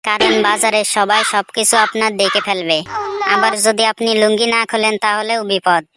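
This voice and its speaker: A woman's voice: mid-range voice, fairly even intonation, brisk, noisy background, lightly acted. A woman voicing a cheeky, dim-witted job candidate in a comic cartoon, mock-polite and answering back. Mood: deadpan cheek.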